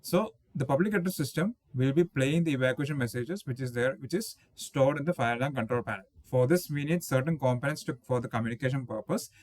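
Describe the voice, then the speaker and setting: A man in his thirties talks through technical slides as an instructor. He explains patiently and circles back to rephrase, with fillers like "okay". His voice sits at a middle pitch and sounds close and dry, with no room echo. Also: fast, few pauses, a little mellow. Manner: explanatory, repeats and rephrases himself, frequent "okay" and "uh". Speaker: engineering instructor. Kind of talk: slide-based technical lecture. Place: indoors, voice-over on slides with small webcam inset.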